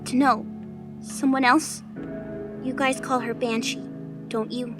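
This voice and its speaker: A woman playing a timid, anxious young goddess in an audio drama, gentle and protective, speaking in a hesitant, pleading way. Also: on the high side, flat delivery, slow pace. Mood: quiet denial. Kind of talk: dramatized audio fiction acting. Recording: music behind the voice. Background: music.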